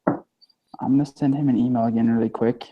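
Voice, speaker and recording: A man's voice: mid-range voice, steady tone, normal speed. A young man in a student group video call, giving brief, easygoing replies. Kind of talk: casual video call meeting. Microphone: computer mic on a video call. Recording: dull lo-fi call audio.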